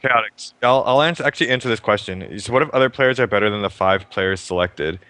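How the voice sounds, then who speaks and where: A young man hosting a live stream show, talking fast and upbeat about a fighting game and often restarting his sentences. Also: lowish voice, sharp tone, clear, resonant tone, dry recording. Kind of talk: casual game commentary.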